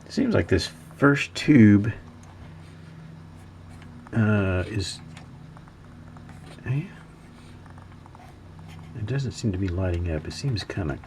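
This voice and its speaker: Low-voiced man, slow and halting. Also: noisy background. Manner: hesitant, halting, musing to himself. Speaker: hobbyist radio repairer narrating his work. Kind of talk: casual, thinking-aloud repair narration. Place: indoor electronics workbench.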